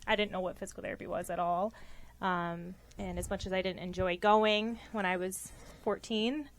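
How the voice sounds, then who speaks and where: A woman's voice: medium pitch, steady tone, normal speed. Young woman chatting about her path. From Rochester, New York. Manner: earnest, frequent ums, light laughs. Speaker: guest physical therapist. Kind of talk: conversational interview answers.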